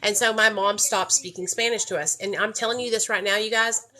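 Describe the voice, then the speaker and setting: A woman's voice: medium pitch, steady tone, a little fast, bright, forceful tone, rough recording. A young woman from the rural United States, candidly telling her personal story to a group and addressing them directly. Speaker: speaker sharing her recovery story.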